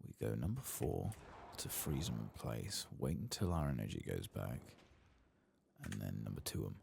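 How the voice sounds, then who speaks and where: Male ASMR gaming host: extremely low, crackling vocal fry, hushed delivery, faint background noise. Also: big pitch swings, moderate pace. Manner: hushed, soft-spoken, at times whispering. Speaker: host of a gameplay channel. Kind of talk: soft-spoken ASMR video game commentary.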